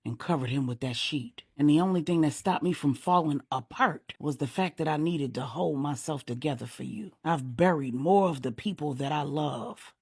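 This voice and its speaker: A woman voicing an older man, a steady, grieving stepfather, speaking earnestly and pleadingly in a storytelling reading. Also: low-pitched, moderate pace, few pauses, slightly rough, no room sound, clean studio sound. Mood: tender grieving. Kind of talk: audiobook-style storytelling.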